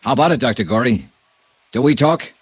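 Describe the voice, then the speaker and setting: An actor playing a tough, wry insurance investigator in a 1950s radio drama, terse and persistent. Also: male voice, medium pitch, animated, a little fast, breathy edge, dry recording, highs cut off. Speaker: investigator and narrator.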